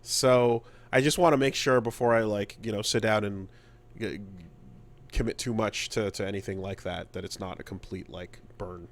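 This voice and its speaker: A man co-hosting a gaming podcast in casual chat, halting and repeating words as he thinks aloud. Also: on the low side, steady tone. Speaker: podcast co-host.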